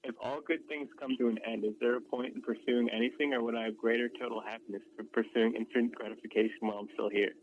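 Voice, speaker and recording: A man's voice: mid-range voice, fairly even intonation, fast talker, few pauses, slight background noise. A man calling in to a radio show, speaking casually. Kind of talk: casual listing and questioning. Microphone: phone call. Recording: dull lo-fi call-in line.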